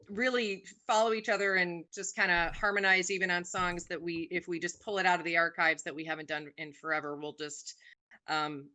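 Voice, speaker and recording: A woman in her forties, a singer guest on a podcast, chatting casually with lots of "um"s. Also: lowish voice. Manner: chatty, warm about her music, many "um"s.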